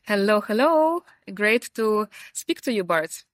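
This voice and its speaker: A woman from Ukraine, answering interview questions on a rooftop in a friendly way, pausing with fillers. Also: medium pitch, sing-song, no echo. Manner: friendly, pauses with fillers, laughs easily. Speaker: sales team lead, interview guest. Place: sunny rooftop at a conference.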